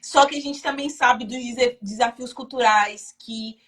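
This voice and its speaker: A woman's voice: fairly high pitch, steady tone, unhurried, a bit bright. A woman from Salvador, hosting a casual live chat in an exuberant, chatty, proud way. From Salvador, Bahia, Brazil. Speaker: live stream host. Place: indoors in Chicago.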